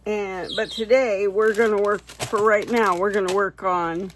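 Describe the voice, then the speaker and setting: Female craft vlogger, hoarse voice, slow casual chat while working, some background noise. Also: mid-range voice, steady tone. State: hoarse, losing her voice. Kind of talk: casual chatty bead craft tutorial.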